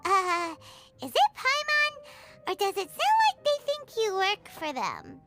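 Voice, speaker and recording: A woman voicing a tiny, excitable, childlike fairy companion in a video game, chirpy in manner. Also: very high voice, takes it slowly, full character voice. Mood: puzzled. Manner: refers to self in third person, chirpy. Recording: music behind the voice. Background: background music.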